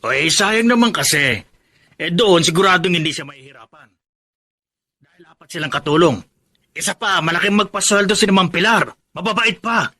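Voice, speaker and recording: An actor playing a disapproving, outspoken middle-aged uncle in a Filipino radio drama. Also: male voice, high-pitched, expressive, normal speed, bright, forceful tone, exaggerated voice. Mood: persuading.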